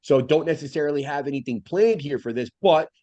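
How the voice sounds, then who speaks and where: A man's voice: fairly high pitch, brisk, warm tone, no room sound, dull audio. A man co-hosting a podcast in casual chat over a video call, congested through the nose, rambling and swearing with lots of fillers and restarts. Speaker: podcast co-host.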